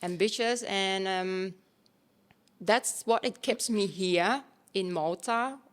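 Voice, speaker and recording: A woman in her thirties from Thailand, chatting casually as an interview guest, with relaxed phrasing full of fillers and restarts. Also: mid-range voice, takes it slowly.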